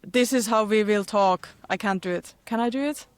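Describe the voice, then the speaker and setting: A woman's voice: mid-range voice, normal speed, clear, resonant tone, no room sound. A woman with a light Finnish accent co-hosts a casual podcast in a giggly, playful way. She keeps chiming in to agree and talks over a video-call setup. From Finland. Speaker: podcast co-host.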